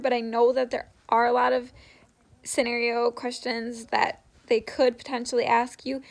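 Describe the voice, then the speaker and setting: A young woman chatting casually to viewers as she shares interview tips, often dropping "like" into her sentences. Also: fairly high pitch. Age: young adult. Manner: conversational, frequent "like" as filler. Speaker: vlogger sharing interview tips.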